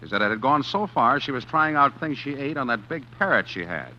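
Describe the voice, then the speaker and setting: An actor playing a man who narrates his own crime in a 1940s radio drama, tense and halting. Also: mid-range voice, big pitch swings, pushed and bright. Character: male narrator who turns killer, jittery. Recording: dull old radio recording with music.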